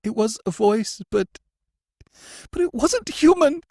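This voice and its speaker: A man voicing a terrified male prisoner who stammers and pleads in a high, strained, cracking voice, as in an audiobook. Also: expressive, slow pace, creaky, a little mellow, no echo, exaggerated voice. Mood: sobbing, stammering. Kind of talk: audiobook character voice.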